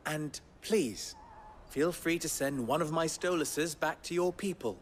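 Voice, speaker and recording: An actor playing a wry, sardonic merchant fixer with a dry, teasing manner in a game cutscene. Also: male voice, a little high, measured pace, a little hiss or hum, close to an everyday voice. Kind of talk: video game cutscene acting. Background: game score.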